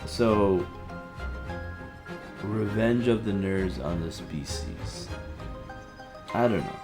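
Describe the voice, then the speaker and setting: Man acting a low-voiced, hesitant, apologetic guy. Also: lively intonation, music in the background, heavily acted. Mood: doubtful, conciliatory. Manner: hesitant, halting, conciliatory. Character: Theo, apologetic, evasive male helpline listener with a troubled marriage. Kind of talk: audio drama, naturalistic phone conversation.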